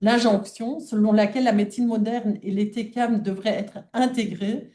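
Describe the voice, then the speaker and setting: A woman's voice: medium pitch, fairly even intonation, moderate pace, mellow. A woman who is not a native French speaker, giving a formal academic lecture read aloud from a prepared text. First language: not French (non-native speaker).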